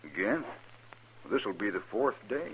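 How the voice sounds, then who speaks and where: An actor playing a sociable, informal American storekeeper in a 1950s radio western. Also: male voice, lowish voice, sing-song, unhurried, fairly bright tone, husky, heavily acted. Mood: uneasy. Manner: folksy, informal. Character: sociable, folksy frontier army storekeeper. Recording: muffled, noisy old radio recording.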